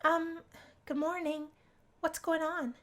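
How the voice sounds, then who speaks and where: Woman playing a hesitant, high-voiced college girl. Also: flat delivery, slow pace, a bit bright, exaggerated voice. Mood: awkward, hesitant. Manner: hesitant, stammering. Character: awkward, polite young female student, hesitant.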